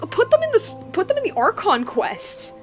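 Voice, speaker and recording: A young woman giving casual, chatty live gameplay commentary, rambling and excitable as she goes. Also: very high voice, fairly quick, faint music behind. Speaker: game streamer.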